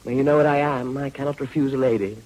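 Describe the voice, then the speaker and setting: An actor playing a suave, self-satisfied, wry gentleman politician with urbane formality in a period radio drama. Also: medium pitch, fairly even intonation, quick pace, lightly acted, rough recording. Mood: smooth, self-satisfied. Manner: urbane, drawing-room formality.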